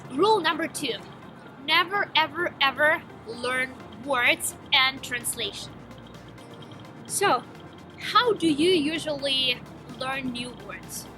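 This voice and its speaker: Eager high-voiced woman, Ukrainian accent. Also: expressive, slow pace, sharp tone. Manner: eager, emphatic, with some hesitations. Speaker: vlogger. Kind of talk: enthusiastic to-camera vlog. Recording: background music under voice.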